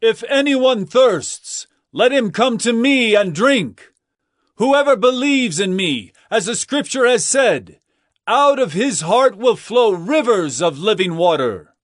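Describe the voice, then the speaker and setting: A man's voice: sits high, expressive, slow pace, no room sound, clean studio sound. An actor playing an impassioned male religious teacher, declaiming earnestly and with authority in a dramatized audio Bible. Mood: crying out. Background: music.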